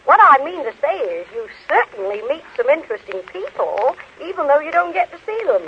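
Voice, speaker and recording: A woman's voice: sits high, sing-song, brisk. An American woman as a guest author on a 1930s radio show, telling anecdotes and trading banter. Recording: noisy, narrow 1930s radio recording.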